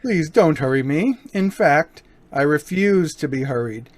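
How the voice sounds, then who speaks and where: A suave gentleman burglar, acted by a man in an old-time radio crime drama. He taunts with unhurried, mock-courteous politeness and quiet menace. His pitch swings widely, recorded dry. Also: expressive, no room sound. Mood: teasing, unhurried.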